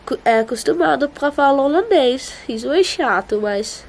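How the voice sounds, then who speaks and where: A woman's voice: sits high, animated, normal speed. A young Dutch-raised woman talks through a casual travel vlog in Portuguese, which is not her first language. She often stops to search for words and sometimes slips into English. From Netherlands. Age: young adult. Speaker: vlogger. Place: outdoors and indoors, apartment and streets.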